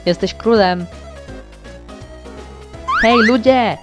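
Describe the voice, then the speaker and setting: A man's voice: sits high, drawn-out words. A man voicing a carefree, easily bored little boy prince in a cartoon fan dub, with a blunt, playful, childish way of talking. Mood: cheeky, carefree. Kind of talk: fan-dub comic acting. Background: music.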